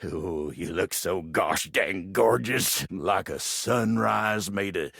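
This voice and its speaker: A man voicing a gentle, lovestruck living table in a video game, with a gravelly, husky Southern American drawl and a bashful, earnest, folksy manner. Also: deep voice, takes it slowly, no echo, studio quality, heavily acted. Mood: earnest, loving. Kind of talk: video game character acting.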